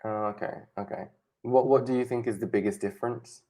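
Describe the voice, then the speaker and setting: A British man working as an online English tutor, asking questions in a relaxed, encouraging way on a video call. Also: mid-range voice, fairly quick. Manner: relaxed, encouraging, short acknowledgements. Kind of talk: casual tutoring conversation.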